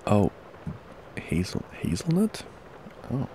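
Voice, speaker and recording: A man doing an intimate boyfriend ASMR roleplay close to the mic, murmuring softly, drowsily and affectionately. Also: huge pitch swings, takes it slowly, noisy background. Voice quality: low, gravelly, creaky. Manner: soft, drowsy, affectionate murmuring, often breathy half-whisper. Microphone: close ASMR microphone.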